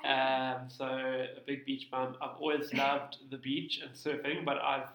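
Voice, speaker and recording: Man, South African accent, interview guest, halting casual storytelling, bright voice, echoey sound. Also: medium pitch, completely flat delivery. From Cape Town, South Africa. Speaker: interview guest, tax practitioner.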